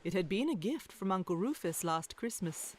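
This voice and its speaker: A woman narrating a children's story from a script, with expressive, literary phrasing. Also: medium pitch, normal speed, slight background noise. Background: music.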